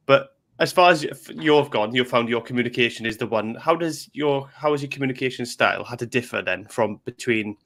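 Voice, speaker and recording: A man's voice: mid-range voice, brisk, hardly any pauses, fairly bright tone. A man hosting a podcast, asking casual questions with friendly curiosity and stammering restarts. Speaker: podcast host.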